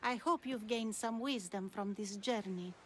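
An actress playing an enigmatic, lofty older wise woman in a video game, speaking in a measured, cryptic, superior way. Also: medium pitch, fairly bright tone, a little hiss or hum, close to an everyday voice. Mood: lofty, knowing. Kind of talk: video game cutscene dialogue.

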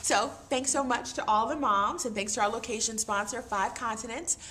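A woman in her forties hosting a talk show in a gallery shop, lively and expressive. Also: on the high side, normal speed, a little hiss or hum.

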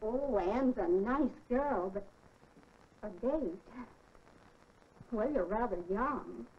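A woman's voice: fairly high pitch, expressive, slow. A middle-aged woman with an American accent playing a kindly, mildly cautious mother in a 1940s instructional film. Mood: motherly. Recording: muffled 1940s film soundtrack.

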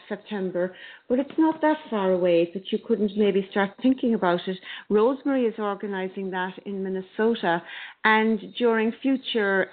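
A woman co-host passes along announcements in a brisk, friendly tone. Her voice comes through a narrow, tinny phone line. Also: medium pitch. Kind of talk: casual announcements.

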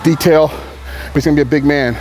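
A man's voice: fairly high pitch, fairly even intonation, a little fast, a little mellow. A man in his thirties, a pro bodybuilder, talking casually and candidly to the camera between sets in a large indoor gym, with loose, rambling phrasing. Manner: laid-back, candid, rambling with fillers. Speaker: professional bodybuilder. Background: music.

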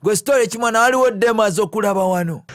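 A man's voice: high-pitched, very fast. A man with a Ugandan accent working as a video jockey, narrating and translating a film live over its soundtrack. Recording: noisy film soundtrack underneath. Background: original film dialogue and noise.

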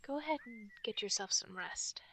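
A woman voicing a guarded, protective wolf fairy in a soft, halting, often whispered ASMR roleplay. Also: mid-range voice, lively intonation, breathy edge, full character voice. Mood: calm, reserved. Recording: nature ambience and birdsong noise. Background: forest sounds, birdsong.

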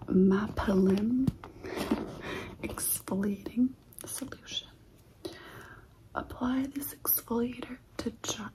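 A woman in her twenties whispering in a soft, breathy, unhurried ASMR style, close to the mic in a living room. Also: on the high side, rough recording. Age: 20s. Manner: soft, unhurried, repeats words slowly. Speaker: ASMR video creator.

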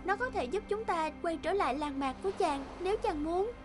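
A sweet, anxious young fairy maiden from a cartoon fairy tale, voiced by a woman. She is very high in pitch and plaintive, with music clearly underneath. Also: lively intonation, a little fast. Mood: soft, hopeful. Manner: plaintive, exclaiming.

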